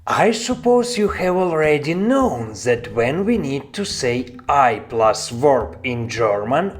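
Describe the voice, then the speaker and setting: Man teaching slowly, with very measured pacing. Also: medium pitch. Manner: deliberate, instructional. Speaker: language teacher. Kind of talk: scripted language lesson with repeated example phrases.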